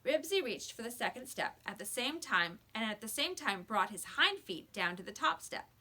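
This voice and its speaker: A woman reading a children's book aloud with expressive storybook narration, like a teacher to a class. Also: a little high, normal speed, breathy edge.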